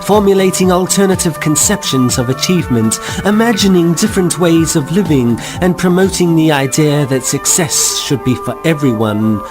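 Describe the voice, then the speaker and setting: A man giving wry, measured scripted narration for an audio drama. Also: on the high side, expressive, over music.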